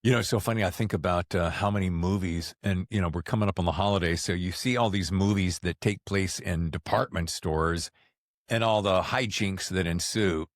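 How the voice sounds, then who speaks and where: Man, low voice, podcast host, casual interview chat, jokey and teasing, dry close sound. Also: normal speed, no echo. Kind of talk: casual conversational book interview.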